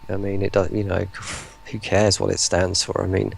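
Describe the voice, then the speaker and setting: A British man with a gravelly voice chatting casually as a guest on a livestream, rambling and self-deprecating. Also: sits low, completely flat delivery, normal speed, rough recording. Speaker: interview guest, voice pack maker. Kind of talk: casual livestream interview chat.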